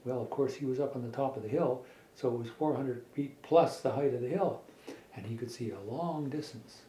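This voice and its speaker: A middle-aged man telling a made-up children's story in a halting, folksy way, repeating words as he goes. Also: mid-range voice, dark tone. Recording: echoey room with faint background noise.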